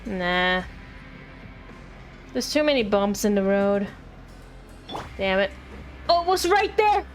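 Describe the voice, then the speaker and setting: A woman's voice: medium pitch, sing-song, slow. A woman streamer giving casual game commentary in a playful, pleading and apologetic way. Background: game music.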